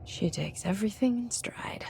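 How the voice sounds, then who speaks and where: An actress playing a guarded young woman director, thinking to herself in a hushed, terse inner monologue. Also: mid-range voice, expressive, normal speed, gravelly, whispered. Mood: wry. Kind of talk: inner monologue in a video game. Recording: loud music behind voice.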